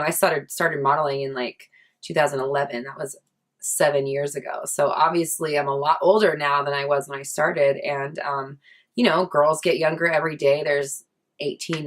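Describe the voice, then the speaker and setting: A woman's voice: low voice, fairly bright tone. A woman in her thirties talking candidly in a casual vlog, chatty and swearing freely, with many likes and restarts. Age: 30s. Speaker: vlogger.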